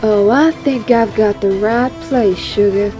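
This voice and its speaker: A woman's voice: medium pitch, a little slow, over music, natural voice. A woman playing a tough, vengeful superheroine with a sassy, menacing drawl in an audio drama. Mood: taunting, menacing.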